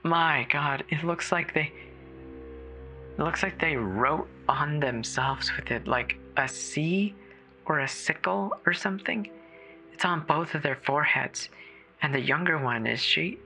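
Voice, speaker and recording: Someone acting a scared woman calling for help, sharp bright voice, stammery, horror audio drama, music underneath. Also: medium pitch. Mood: frightened. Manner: halting, fearful, breathy stammering. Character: terrified woman caller alone in a snowbound house. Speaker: emergency caller.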